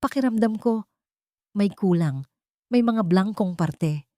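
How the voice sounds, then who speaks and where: A confused, searching groom in a romantic audio drama, voiced by a woman narrator. He talks hesitantly and questioningly, with raised pitch and a dry, close sound. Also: animated, slow, mellow, dry recording. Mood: uneasy. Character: confused adult son, groom searching his lost memory.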